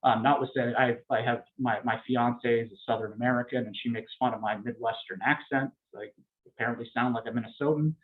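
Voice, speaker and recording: A man giving an academic political science lecture, explaining in a measured way with frequent fillers. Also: mid-range voice, fairly even intonation. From Canada. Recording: tinny phone-like lecture recording.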